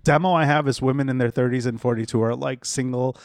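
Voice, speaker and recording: A man's voice: medium pitch, steady tone, quick pace, no room sound, clean studio sound. A man hosting a casual podcast chat, who asks probing questions and teases his guest. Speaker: podcast host.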